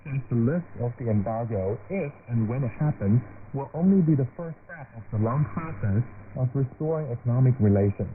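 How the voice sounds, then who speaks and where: A man's voice: mid-range voice, lively intonation, a little fast, hardly any pauses. A male correspondent reading a scripted news report on shortwave radio. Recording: noisy narrowband shortwave radio broadcast.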